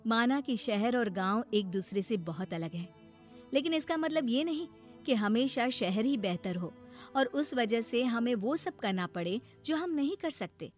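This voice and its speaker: Sensible, exasperated elder sister for a cartoon moral tale woman performer, higher female voice, instructive and caring. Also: lively intonation, unhurried, faint music behind. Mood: advising. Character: sensible city-dwelling elder sister, scolding but caring. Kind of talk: animated moral story acting.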